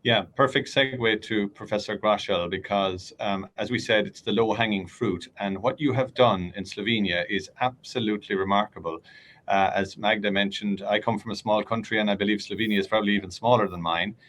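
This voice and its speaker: Male panel moderator, lowish voice, faint rumble behind. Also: fairly even intonation, fairly quick, a bit bright. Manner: inviting, restarts when asking.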